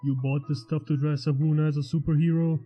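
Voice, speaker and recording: A man playing a calm, protective skeleton in an acted fan fiction reading, low-key and reassuring. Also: fast talker, close to an everyday voice. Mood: serious, firm. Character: calm, protective, quietly serious male skeleton. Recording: dull lo-fi sound, faint music.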